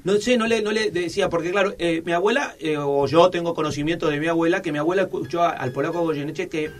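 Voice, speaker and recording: A man hosting a casual radio interview, chatty and halting with lots of fillers. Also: on the high side. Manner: chatty, hesitant with many fillers. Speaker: radio host.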